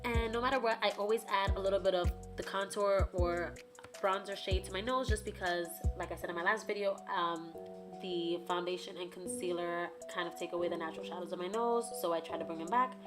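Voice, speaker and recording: Hoarse young woman over loud music. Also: lowish voice, fairly even intonation, brisk. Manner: casual, chatty, filler "like". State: raspy, hoarse from karaoke. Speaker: beauty vlogger. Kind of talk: makeup tutorial voice-over.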